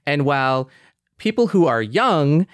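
Smooth-voiced middle-aged man co-host. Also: a little high, sing-song, a little slow, no room sound, clean studio sound. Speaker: podcast co-host. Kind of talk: casual podcast chat.

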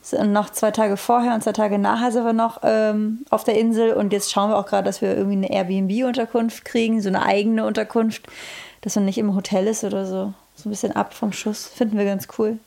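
A woman co-hosting a casual podcast, chatty and quick to laugh. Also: medium pitch, level tone, gravelly, dark tone. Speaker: podcast co-host.

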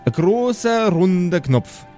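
Guy doing a bossy bavarian mom explaining stuff to her sons, kinda high voice, swings around a lot, rpg audio play. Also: very animated, slow, mellow, faint music behind, exaggerated voice. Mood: proudly instructing. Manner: instructing, exasperated, rustic. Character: inventive, bossy mother of grown sons.

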